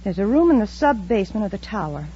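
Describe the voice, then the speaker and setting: A woman's voice: medium pitch, sing-song, fast talker, warm tone, natural voice. Female lead villain acted for old-time radio: composed, bossy, outwardly courteous, dull noisy recording. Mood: coldly commanding. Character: cool, commanding female villain with polite front. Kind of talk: 1950s radio serial acting.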